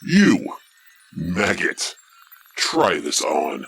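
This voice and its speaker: A husky, forceful villain count in an audio drama. He is an armored tyrant who barks orders at minions with impatient menace, low and slow. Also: sing-song, heavily acted. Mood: commanding, impatient.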